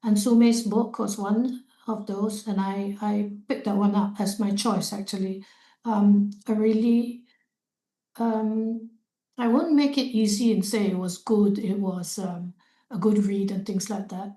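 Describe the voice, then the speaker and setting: A woman moderates an online talk between poets. She has a mellow, soft voice with fillers between phrases, and she sounds as if she is in a roomy, echoing space. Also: medium pitch, steady tone, slow pace. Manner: hesitant, with many fillers. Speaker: host. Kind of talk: moderating a poetry discussion.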